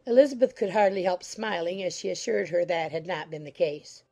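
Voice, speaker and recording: A woman's voice: on the low side, brisk, dark tone, soft background music. A woman reading scripted literary narration for a dramatized audiobook.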